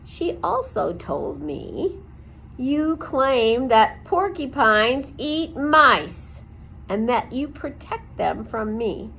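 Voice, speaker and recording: A gruff old male porcupine from a storybook, put on by a woman reading aloud, blunt and loud and a little teasing. The voice moves a lot and the delivery is slow and deliberate. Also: high voice, sing-song, a little hiss or hum, voice pushed up, exaggerated voice, low-quality recording. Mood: confronting.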